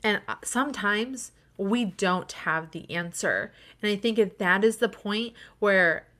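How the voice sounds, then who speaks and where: Bright, earnest woman hosting a panel. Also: medium pitch, unhurried. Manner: earnest, self-described extrovert, open about struggles. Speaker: panel host. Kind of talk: panel discussion.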